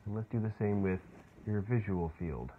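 A man leading a guided meditation in a soothing, unhurried way, leaving long pauses. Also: lowish voice, expressive. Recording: muffled phone-like sound with clear background noise.

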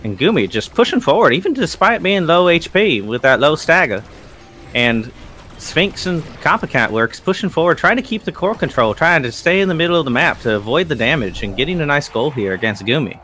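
A man casting an online esports tournament, calling plays with building excitement. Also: a little high, slight background noise. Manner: excitable, rising into fast hype calls. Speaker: esports caster. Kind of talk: play-by-play commentary. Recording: faint game music behind.

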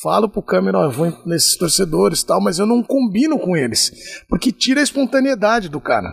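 Lively raspy-voiced man telling stories. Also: high-pitched, fairly quick, no room sound, clean studio sound. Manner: lively, animated storyteller with bursts of laughter. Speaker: podcast guest, sports reporter. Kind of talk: casual chat, storytelling. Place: podcast studio, small room. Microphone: desk mic on a stand.

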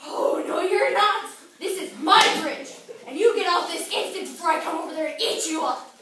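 A woman's voice: extremely high pitch, moderate pace, noisy background. A woman playing a mean, bossy old troll in a children's fairy-tale play, hollering rudely. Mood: furious, threatening. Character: mean, bad-tempered, hungry old troll. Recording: echoey room, far from mic.

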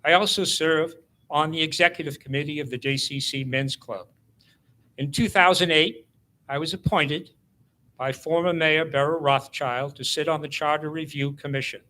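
Bright, forceful older man giving a speech. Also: a little high, fairly even intonation, normal speed. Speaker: city council candidate. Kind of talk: prepared campaign speech.

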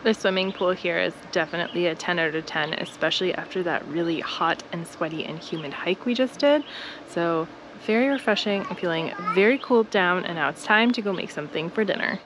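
A woman's voice: lowish voice, fairly quick, noisy background. A woman, giving casual travel vlog narration. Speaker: travel vlogger.

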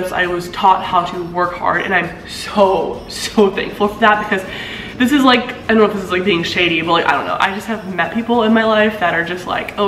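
Young woman vlogger with a deeper voice, casual and chatty, laughs a lot, recorded on a camera mic in a roomy apartment. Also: a bit bright, slight background noise. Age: 20s. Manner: chatty, laughs often, swoops up in pitch. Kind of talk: casual chat reading comments. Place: living room of an apartment. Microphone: camera mic at a few feet. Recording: echoey room.

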